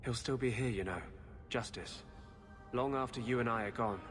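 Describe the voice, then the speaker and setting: An actor playing a troubled, earnest rebel mage in a fantasy game, heavy-hearted and resigned in manner. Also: male voice, mid-range voice, normal speed, soft background music, lightly acted. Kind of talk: dramatic game dialogue.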